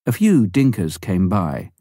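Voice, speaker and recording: A man reading a memoir aloud in a measured, unhurried manner. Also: lowish voice, huge pitch swings, dark tone, breathy edge, no room sound, studio quality. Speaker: audiobook reader. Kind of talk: scripted memoir narration.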